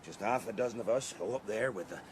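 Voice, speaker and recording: A man's voice: on the low side, quick pace, darkish tone, slight background noise, lightly acted. A man playing a blustering, suspicious farmer with a rural English accent in a radio drama. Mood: grumbling. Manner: rustic, emphatic, grumbling.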